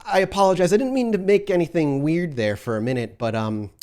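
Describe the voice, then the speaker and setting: A man's voice: fairly high pitch, a little fast, darkish tone, voice pushed up, natural voice. A man voicing a smiling, overly friendly young male church caroler who stumbles over his words. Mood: eager friendly. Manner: eager, ingratiating, stumbling.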